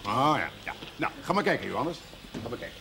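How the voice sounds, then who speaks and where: Indulgent grandfather,, older, quick speech, animated pitch, television period drama, music behind. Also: vocal fry, background noise.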